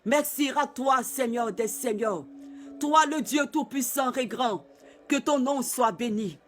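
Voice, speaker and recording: A man leading a fervent spoken prayer, repeating phrases and often calling out loudly, with soft music behind him. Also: very high-pitched, slow. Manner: fervent, repetitive, often shouted. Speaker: pastor. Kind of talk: prayer and worship exhortation.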